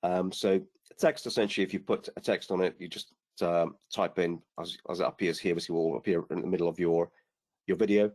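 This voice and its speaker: A man with a lowish, slightly hoarse voice talks through a software demo over a video call. He explains patiently, filling gaps with "uh" and "um" and restarting his sentences. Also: a little fast. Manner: relaxed explaining, many fillers and restarts. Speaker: product demonstrator on a webinar. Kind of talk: screen-share software demo.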